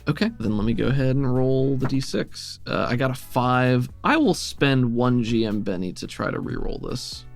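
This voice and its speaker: A man's voice: medium pitch, expressive, moderate pace, gravelly, few pauses. A man running a tabletop roleplaying game as game master, explaining things in a conversational, accommodating way. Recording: music playing behind the voice. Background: music.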